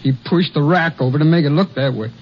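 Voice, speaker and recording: An actor playing a terrified young logging hand in a 1950s western radio drama, pleading and stammering in panic. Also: male voice, fairly high pitch, a little fast, close to an everyday voice. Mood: shaken, confessing. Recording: dull, faintly noisy old radio recording.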